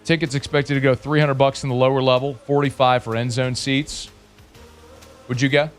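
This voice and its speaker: A man hosting a sports talk radio show in a studio, teasing and needling his co-host in quick back-and-forth banter. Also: medium pitch, little pitch movement, faint music behind. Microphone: studio microphone.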